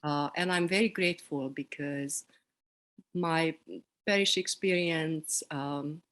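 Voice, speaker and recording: A middle-aged woman with a Hungarian accent, speaking reflectively as an interview guest, pausing between short phrases. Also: low voice, fairly bright tone. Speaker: interview guest, seminary program director.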